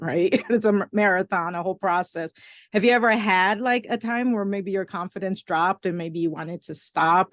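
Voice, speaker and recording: A woman's voice: mid-range voice, no room sound, studio quality. A woman hosting a casual podcast interview, chatty and encouraging, often laughing along. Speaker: podcast host.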